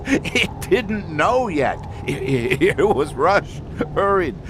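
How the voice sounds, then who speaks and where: An actor playing an unhinged, paranoid man in an audio drama, talking in halting phrases broken by manic laughter. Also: sing-song, full character voice. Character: unhinged man gripped by paranoid terror of an imitating monster. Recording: constant noisy rumble behind the voice.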